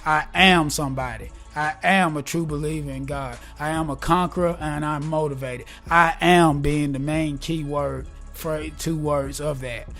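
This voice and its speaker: A man in his forties, talking casually and earnestly in an interview, upbeat and a bit preachy, and quick to laugh. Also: a little high, steady tone, fairly bright tone, soft background music. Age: 40s. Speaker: guest, gospel rap artist. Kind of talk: casual conversation.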